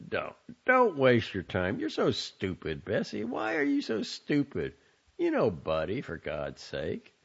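A man's voice: mid-range voice, voice pushed up. A man doing a sarcastic, irritable young man. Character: sardonic, short-tempered young man in the bath. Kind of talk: audiobook character voice. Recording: dull lo-fi recording.

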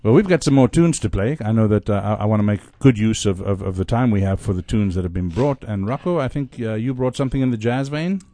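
A man's voice: fairly low pitch, fast talker, few pauses, mellow, dry recording. A man co-hosting a casual radio discussion, speaking in an emphatic, opinionated way. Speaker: radio co-host.

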